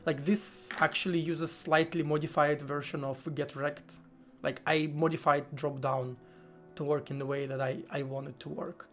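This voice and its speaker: A man live-streaming while he codes, thinking aloud in a halting way, with many fillers and restarts. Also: a little high, little pitch movement, a bit bright. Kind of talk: casual live-stream talk while coding.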